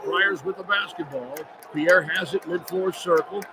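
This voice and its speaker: A man calling live basketball on the radio in clipped running phrases, getting excitable on big plays. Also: high voice, a little slow. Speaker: play-by-play radio announcer. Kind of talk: live basketball play-by-play commentary. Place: courtside at a large indoor arena. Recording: loud arena noise behind the voice. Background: loud game ambience.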